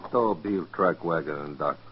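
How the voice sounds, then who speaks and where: An actor playing a blunt, ruthless tracker scout who speaks clipped broken English in a 1950s radio western. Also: male voice, a bass voice, normal speed. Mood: terse, determined. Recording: muffled, noisy old radio recording.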